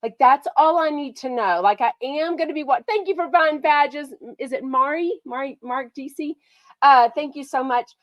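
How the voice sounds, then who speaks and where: A chatty woman hosts a gossip livestream, excitable and quick to gush. A mild cold leaves her a bit nasal. Her voice sits fairly high and sounds dry and close. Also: no room sound, dull audio. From Texas. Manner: bubbly and excitable, lots of "like" and "oh my gosh". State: a slight head cold, nasal. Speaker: podcast host.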